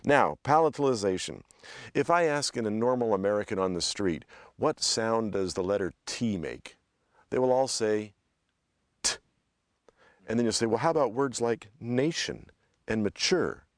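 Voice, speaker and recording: A man with a scratchy voice hosting a language lesson podcast, upbeat and explaining slowly. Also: on the low side, lively intonation, no room sound, studio quality. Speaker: podcast host and language teacher.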